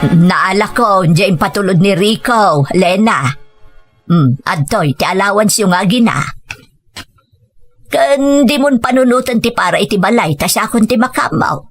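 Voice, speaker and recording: A woman playing a female family member in an audio drama speaks at an ordinary middle pitch. Soft music runs beneath her lines. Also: lively intonation, unhurried. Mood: earnest. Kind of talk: Ilocano audio drama acting. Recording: music under the voice.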